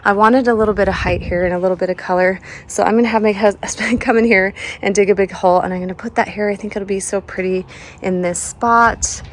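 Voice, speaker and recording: A woman's voice: medium pitch, steady tone, fast. A young woman with a sleepy voice, still getting over a cold, chatting casually as she walks through her front yard garden and laughs often. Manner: chatty, laughs often, trails off mid-sentence. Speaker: home and garden vlogger.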